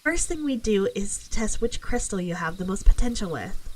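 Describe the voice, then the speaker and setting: A woman's voice: medium pitch, lively intonation, normal speed, a little hiss or hum, close to an everyday voice. A woman playing a friendly, confident witch who chats reassuringly to the listener in an ASMR roleplay. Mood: eager, inviting. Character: friendly, confident female forest witch, eager mentor.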